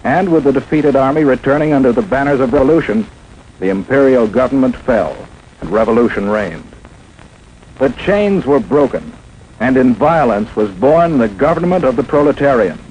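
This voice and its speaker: Animated American male 1950s film narrator, faint hiss. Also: medium pitch, normal speed. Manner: emphatic, dramatic, rhetorical questioning. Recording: noisy 1950s film soundtrack.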